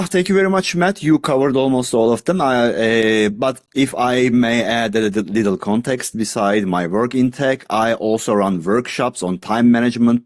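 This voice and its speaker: A man's voice: fairly low pitch, dry recording, clean studio sound. A man with a Turkish accent, Turkish his first language, answering as a podcast guest in a friendly, upbeat and slightly jokey way. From Turkey. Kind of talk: interview answers.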